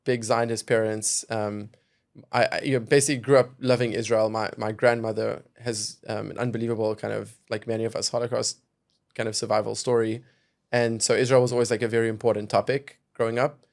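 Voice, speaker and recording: A man's voice: on the low side, little pitch movement, brisk, vocal fry, darkish tone. A young man from South Africa, a guest on a podcast, speaking thoughtfully and hedging often with "kind of" and "you know". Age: young adult. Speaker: venture capital investor, podcast guest. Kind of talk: casual podcast conversation.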